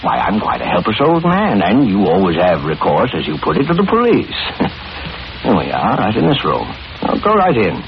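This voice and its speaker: An actor playing a smugly genial older killer in 1940s radio horror, with a mock-courteous, unctuous manner, on an old broadcast recording. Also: male voice, lowish voice, huge pitch swings, moderate pace, gravelly, lo-fi sound, a little hiss or hum, exaggerated voice. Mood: falsely soothing. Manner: mock-courteous, unctuous, chuckling, repeats himself. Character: sinister, smugly genial older male killer luring young women.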